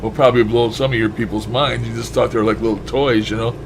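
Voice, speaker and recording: A man with a mid-range voice rambles casually to his listeners. His delivery is folksy and blunt, with some mild swearing. A faint steady noise sits behind him. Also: medium pitch, fast. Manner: folksy, blunt, mildly profane. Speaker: shortwave radio hobbyist vlogger.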